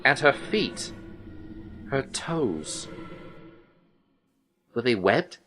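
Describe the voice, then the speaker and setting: Music plays clearly beneath a troubled detective, telling his eerie story in the first person. His voice sits at a medium pitch. The delivery is measured, brooding storytelling for a horror audio drama. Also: big pitch swings. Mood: watchful, musing. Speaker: narrator. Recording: music under the voice. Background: music soundtrack.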